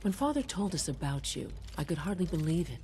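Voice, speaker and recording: A woman's voice: low voice, big pitch swings, brisk, dark tone, a little hiss or hum. An actress playing a practical female chief engineer in a video game, speaking in a businesslike but welcoming way. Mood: welcoming, brisk.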